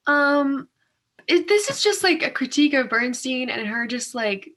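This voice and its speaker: A woman's voice: high-pitched, animated, unhurried, fairly bright tone. A young woman co-hosting a discussion in a casual, colloquial and loosely phrased way. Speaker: co-host of a reading discussion. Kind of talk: casual chat.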